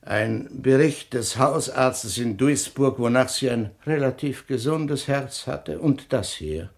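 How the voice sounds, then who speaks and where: Husky, shrewd police inspector. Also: male voice, mid-range voice, animated. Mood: probing, dry. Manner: deliberate, insinuating, rhetorical questioning. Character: shrewd, suspicious male police inspector, sardonic and probing. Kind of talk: 1960s German radio crime drama acting.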